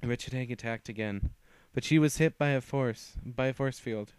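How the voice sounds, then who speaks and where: Young man reading with halting restarts. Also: mid-range voice, clear, resonant tone, no echo. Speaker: fan fiction reader. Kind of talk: reading his own fan story aloud.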